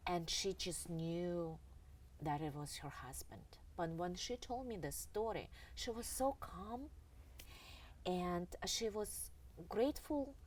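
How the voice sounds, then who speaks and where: Low-voiced woman, distant mic. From Russia. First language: Russian. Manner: earnest, halting, with many pauses and fillers. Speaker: author and interview guest. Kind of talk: reflective storytelling in an interview. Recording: distant mic with faint background noise.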